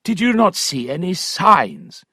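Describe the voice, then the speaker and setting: A man reading a storybook while voicing a dignified gentleman who speaks in a measured, polite way. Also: high-pitched, huge pitch swings, breathy edge, no room sound, studio quality, voice pushed up, full character voice. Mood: concerned.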